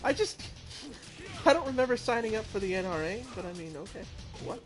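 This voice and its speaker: High-voiced chatty streamer. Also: male voice, animated, music in the background. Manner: chatty, quick to laugh. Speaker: streamer host. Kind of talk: casual gaming stream chat.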